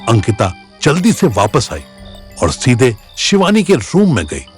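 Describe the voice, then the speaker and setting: A man's voice: medium pitch, wildly swooping pitch, measured pace, soft background music. A man with an Indian accent narrating a scripted dramatic audio story.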